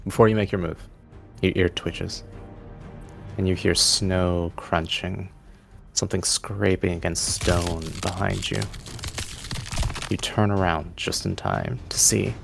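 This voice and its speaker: A man with a very low, creaky voice serves as game master, narrating a tabletop game. Faint music plays underneath. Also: a bit bright.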